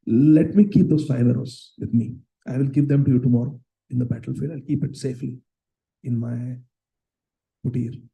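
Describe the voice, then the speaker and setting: A man's voice: medium pitch, steady tone, normal speed, gravelly, dull audio, close to an everyday voice. A man voicing a frustrated, scheming prince who pleads, insults and wheedles. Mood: wheedling. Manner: pleading, then pushy and wheedling.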